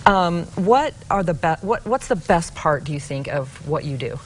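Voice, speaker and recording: A woman's voice: lowish voice, animated, moderate pace, slight background noise. A woman in her forties hosting a sponsored TV studio interview, upbeat and affirming toward her guest. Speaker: TV host. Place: TV studio set, seated on a sofa. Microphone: lapel mic.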